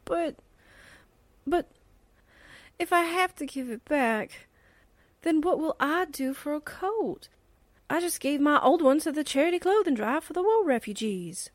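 A woman's voice: sits high, expressive, a little slow, long pauses, no room sound. A woman playing a gullible, flustered young newspaperwoman in 1940s radio drama style, wide-eyed and hesitant. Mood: dismayed, plaintive.

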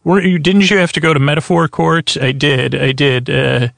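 Creaky-voiced man rambling as podcast host. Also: a little high, little pitch movement, moderate pace, a bit bright, dry recording. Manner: meandering, stammering, self-interrupting, trailing off into tangents. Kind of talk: rambling bedtime sleep podcast monologue.

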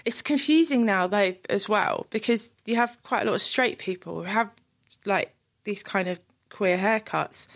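A woman's voice: mid-range voice, moderate pace, fairly bright tone. A young woman chatting casually as an interviewee, giggly and full of fillers. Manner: chatty, giggly, lots of likes. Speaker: club night co-founder, interviewee. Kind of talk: casual chat.

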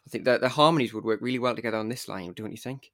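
A man's voice: medium pitch, very fast, fairly bright tone, no echo, clean studio sound. A man with a Geordie accent co-hosting a podcast, bantering in a jokey, wry way. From North East England. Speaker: podcast co-host. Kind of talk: casual podcast chat.

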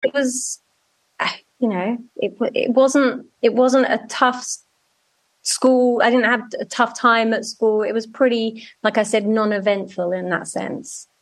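A woman's voice: on the high side, a little slow. A woman from Norwich, chatting as a guest in an interview over a video call, telling stories and often correcting herself. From Norwich, England. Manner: chatty, self-correcting, animated storytelling. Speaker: guest, music media professional.

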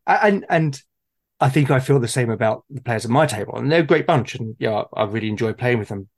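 A man in casual, reflective conversation, speaking in halting, thoughtful phrases. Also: medium pitch, lively intonation. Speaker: podcast speaker, solo gamer.

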